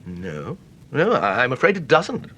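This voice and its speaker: Bright-voiced, hesitant British gentleman. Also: huge pitch swings, a little slow, vocal fry, breathy edge, muffled recording, full character voice. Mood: uneasy. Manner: polite, hesitant, apologetic. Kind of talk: British radio mystery drama acting. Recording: noisy old radio recording, low rumble.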